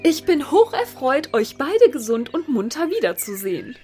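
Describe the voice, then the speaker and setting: Formal court lady, high animated voice, storybook drama, little bit of music. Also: moderate pace. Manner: formal, ceremonious. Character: courtly lady, formal, proper, easily moved. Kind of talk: fairy tale audio drama acting.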